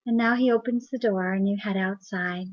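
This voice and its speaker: A woman leading a guided meditation in a soft, soothing, unhurried voice. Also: medium pitch, steady tone. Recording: dull lo-fi recording.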